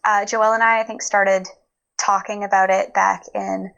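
A woman's voice: mid-range voice, level tone, normal speed, vocal fry, a bit bright, highs cut off. Chatty woman in a casual interview. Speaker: guest, audio play producer.

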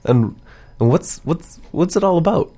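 A woman's voice: on the low side, expressive, measured pace, warm tone. A woman co-hosting a music show in casual, playful, hesitant chat, often repeating her phrases. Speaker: radio show co-host.

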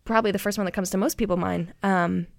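A young woman co-hosting a podcast, walking through her research in a rambling, hesitant way with many ums and quick laughs. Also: medium pitch, steady tone, gravelly, dry recording, clean studio sound. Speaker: podcast co-host presenting research. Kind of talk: casual chat.

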